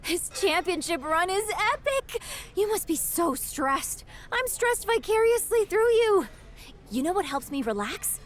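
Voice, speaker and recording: A bubbly young woman in a game cutscene. Her voice is high and swings up and down a lot. She gushes playfully and gets excited easily. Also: measured pace, slight background noise, heavily acted. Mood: excited, gushing. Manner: animated, gushing, playful. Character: bubbly, excitable young woman offering quirky stress relief. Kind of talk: video game cutscene dialogue.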